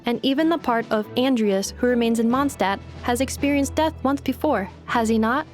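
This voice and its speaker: A young heroine from a fantasy game, played by a woman, with a high voice. She sounds thoughtful and serious, gently probing, with soft music in the background. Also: moderate pace, close to an everyday voice. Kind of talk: video game dialogue acting.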